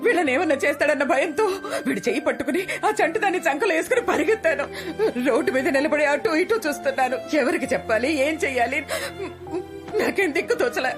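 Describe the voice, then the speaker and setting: A woman's voice: sits high, expressive, fast, music in the background. An actress in her fifties playing a self-blaming mother of four who rambles and slides into a tearful confession, in a film drama. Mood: confessional. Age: 50s. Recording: film score under dialogue.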